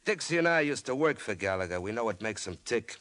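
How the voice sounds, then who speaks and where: A man's voice: on the low side, big pitch swings, fast talker, fairly bright tone. An actor playing a bullying, short-tempered crook in old radio crime drama, barking sarcastic, slangy tough-guy orders. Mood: scheming, insistent. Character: bullying, short-tempered small-time male crook plotting a kidnapping.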